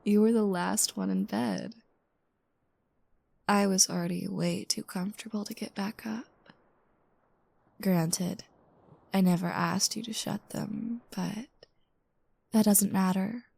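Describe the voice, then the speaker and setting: A woman playing a playful, teasing new girlfriend just waking up, speaking softly and intimately in a roleplay audio. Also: mid-range voice, lively intonation, no room sound, full character voice. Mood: drowsy, grumbling. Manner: soft, breathy asides, teasing, unhurried.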